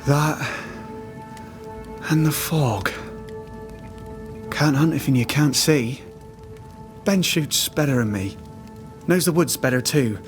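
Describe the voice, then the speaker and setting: Casting: starving, loyal trapper in a dark video game story. Higher male register, unhurried, plain rustic dialect, haunted temper. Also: faint music behind. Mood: weary, earnest. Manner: rustic dialect phrasing, plain halting sentences. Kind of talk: dramatic video game cutscene acting.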